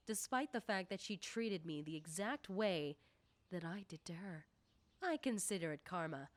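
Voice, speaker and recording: A woman playing a confident, nosy popular high school girl in an ASMR roleplay, talking coyly and teasingly to the listener. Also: dry recording, close to an everyday voice.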